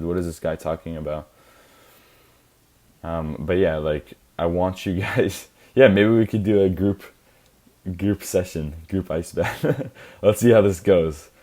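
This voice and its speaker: Guy with a really low tired voice rambling through a chill lecture, lots of likes and ums. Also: dark tone. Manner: casual, rambling, many fillers and restarts. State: tired, worn-out voice. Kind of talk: informal live lecture to listeners.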